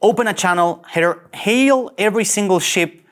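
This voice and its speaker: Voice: mid-range voice, moderate pace, fairly bright tone, lightly acted. An actor playing a flustered, earnest young male starship officer in a sci-fi cartoon table read, nervous and indignant. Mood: resolute.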